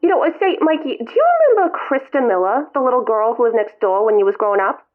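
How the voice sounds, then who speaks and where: Woman playing a whiny guilt-trip old mom, high and swoopy, phone sound. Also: lively intonation, fast talker, lightly acted. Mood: nagging. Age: over 60. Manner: melodramatic, whiny, self-pitying. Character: guilt-tripping elderly mother in a retirement home. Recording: tinny phone line.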